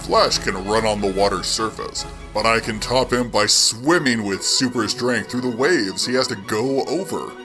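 A man acts out a confident comic-book superhero in a low-pitched voice. He reports on his own race in a dramatic, heroic reading, with music clearly playing behind him. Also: normal speed, few pauses, natural voice.

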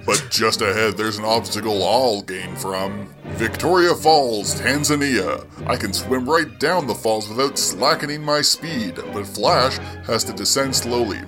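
A man's voice: medium pitch, a little slow, few pauses, over music, close to an everyday voice. A man playing an earnest, proud superhero who declaims his feats aloud in a comic-book dramatic reading. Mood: confident, narrating. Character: earnest heroic male superhero, proud and considerate.

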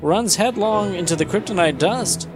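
Guy reading comic narration all dramatic and jokey, kinda high voice, music playing. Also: moderate pace. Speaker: podcast co-host reading narration.

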